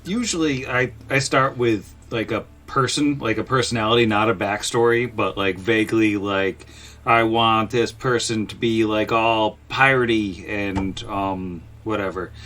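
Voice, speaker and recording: A man's voice: lowish voice, steady tone, fairly bright tone. A man chatting casually and jokingly on a podcast, rambling in short bursts and acting out his own asides. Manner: halting, filler-heavy rambling with acted-out asides. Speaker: podcast panelist.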